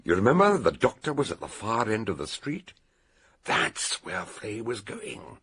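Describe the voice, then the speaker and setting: An actor playing an eccentric older British detective in a radio drama, theatrically and deliberately laying out a murder solution in a gravelly, husky voice. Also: male voice, fairly low pitch, wildly swooping pitch, a bit bright, exaggerated voice. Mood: dramatic, relishing.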